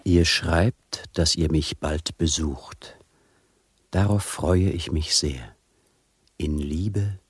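An actor in a German radio crime play playing a vain, genteel imprisoned charmer with a husky voice and formal, affected manner. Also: male voice, very deep voice, no echo, studio quality, heavily acted. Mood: unctuous, solemn. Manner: measured, formal, affected refinement.

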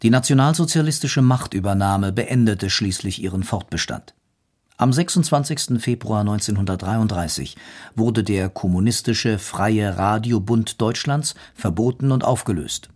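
A man's voice: fairly low pitch, moderate pace, darkish tone. A man reading scripted narration for a radio documentary.

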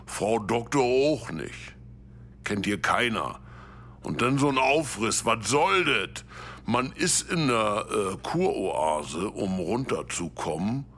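An actor playing a blunt, irritated ex-celebrity at a luxury clinic, giving casual, dismissive interview answers. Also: male voice, medium pitch, pushed and bright, full character voice.

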